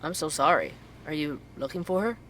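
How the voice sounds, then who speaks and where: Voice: medium pitch, lively intonation, a little fast, a bit bright, slight background noise, close to an everyday voice. An actor playing a tired, earnest investigative journalist who hosts a podcast, in naturalistic audio drama. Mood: gentle, concerned.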